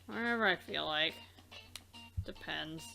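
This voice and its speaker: A woman's voice: sits low, a little slow, creaky, sharp tone, soft background music, heavily acted, rough recording. A woman voicing a blunt, dismissive young female character from a game, aloof and sweary. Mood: bored, aloof.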